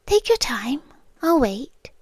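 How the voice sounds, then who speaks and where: A British woman playing a possessive, menacing yandere girlfriend in an ASMR roleplay, soft-spoken and deliberate, often slipping into whispers, sweetly condescending. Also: high voice, sing-song, no echo, full character voice. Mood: quietly possessive. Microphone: close, dry microphone.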